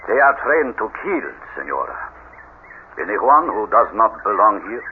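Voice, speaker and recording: An actor playing a grave, menacing old house servant, solemn and terse, in a radio mystery. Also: male voice, lowish voice, big pitch swings, moderate pace. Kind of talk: radio mystery drama acting. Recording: tinny vintage radio, noisy hiss.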